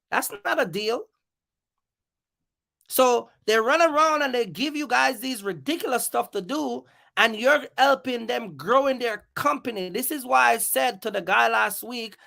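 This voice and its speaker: A livestream host with a bright, forceful voice and a gravelly creak runs through an off-the-cuff rant. The delivery is emphatic and challenging, with phrases repeated to hammer each point. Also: mid-range voice. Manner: confrontational, challenging listeners. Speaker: live stream host.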